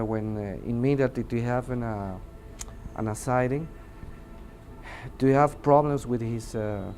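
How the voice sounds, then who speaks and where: A man with a Mexican accent, a native Spanish speaker, giving testimony in an interview and haltingly searching for words in his second language. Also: mid-range voice, a little mellow, slight background noise. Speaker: air traffic controller giving testimony. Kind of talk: interview testimony, unscripted recounting.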